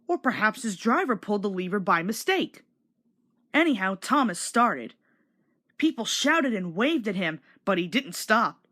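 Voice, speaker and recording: A woman narrating a children's storybook aloud in an expressive, scripted read-along style. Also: mid-range voice.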